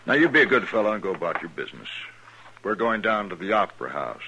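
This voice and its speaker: An American actor playing a smug, taunting cowboy gang leader with a mocking drawl, in 1950s radio western style. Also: male voice, fairly low pitch, very animated, quick pace. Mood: smug, threatening. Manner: drawling, mocking, falsely friendly. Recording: old radio recording with faint noise.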